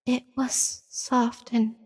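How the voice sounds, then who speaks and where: A woman's voice: fairly high pitch, little pitch movement, drawn-out words, warm tone, slight background noise. A woman playing a dying young woman who wistfully narrates her last moments in a horror radio drama. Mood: wistful, fading. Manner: wistful, literary storytelling.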